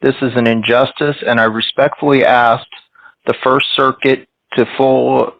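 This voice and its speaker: A man reads a prepared phone message very slowly in a flat, level tone. He is formal and polite, and the dry recording is a little dull and muffled. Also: mid-range voice, vocal fry, no room sound. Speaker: caller leaving a voicemail for a court. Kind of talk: reading a scripted phone message. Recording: slightly muffled, dull sound.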